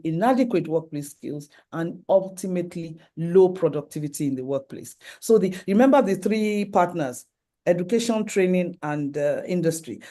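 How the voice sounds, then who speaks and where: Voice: medium pitch, warm tone. A middle-aged speaker with a Nigerian accent giving a conference presentation with slides, earnestly working through lists of points and often restarting sentences.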